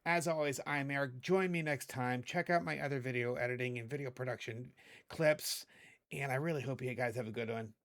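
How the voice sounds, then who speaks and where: A middle-aged man with a hoarse voice hosting a casual video editing tutorial, chatty and rambling, often correcting himself. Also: mid-range voice, fairly quick, a bit bright. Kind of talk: casual screen-recorded tutorial.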